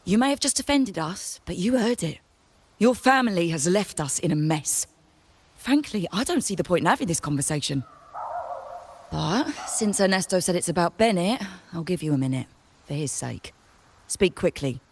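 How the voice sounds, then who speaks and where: Blunt, distrustful trader. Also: female voice, lowish voice, expressive, moderate pace, husky. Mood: curt, resentful. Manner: curt, blunt, no-nonsense. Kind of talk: scripted video game voice acting.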